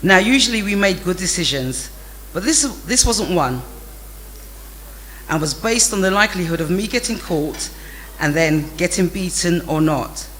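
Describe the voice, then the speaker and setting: A man narrates memories of childhood in a bright, forward voice. His pitch sits well above most men's, and his delivery is animated and amused. There is some light background noise. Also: moderate pace, low-quality recording. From England. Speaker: storyteller reading a memoir.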